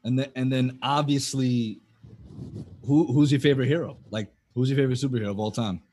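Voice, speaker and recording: Husky-voiced excitable young man. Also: medium pitch. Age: 20s. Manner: excitable, quick to laugh, slangy. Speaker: host. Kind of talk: casual chat.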